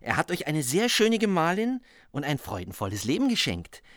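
An admiring minstrel, animated and ornate, dry close sound. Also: male voice, on the high side, moderate pace, no echo. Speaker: actor in radio drama. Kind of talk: radio play acting.

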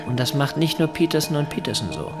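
An actor playing a controlled, probing interrogator in an audio drama, questioning in a measured, insistent way. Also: male voice, on the high side, very animated. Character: controlled, probing male interrogator holding a captive. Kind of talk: scripted audio drama dialogue. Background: music.

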